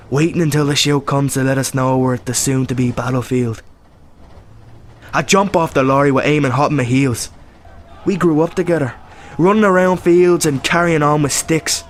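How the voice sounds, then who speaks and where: An actor playing an eager, proud young Irish rebel volunteer, delivering a radio play monologue. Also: male voice, medium pitch, a little hiss or hum, lightly acted.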